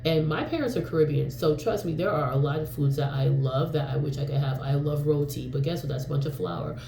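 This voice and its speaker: A woman's voice: sits low, animated, a little fast, creaky, warm tone, echoey room, a little hiss or hum. A woman in her forties from New York, casually vlogging in a rambling, frank way with lots of fillers and the odd swear word. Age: 40s. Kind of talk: casual talking-to-camera vlog.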